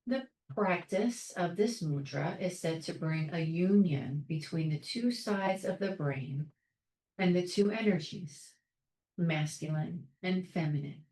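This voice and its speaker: A woman leading a guided meditation in a calm, soothing, measured way, leaving long pauses between short phrases. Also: lowish voice, reverberant, poor audio. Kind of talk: guided meditation, soft instruction.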